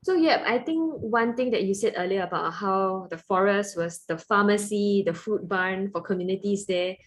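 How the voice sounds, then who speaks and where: A woman's voice: medium pitch, normal speed. A woman with a Singaporean accent, moderating a panel in a conversational interviewing style. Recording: faint noise on webinar audio.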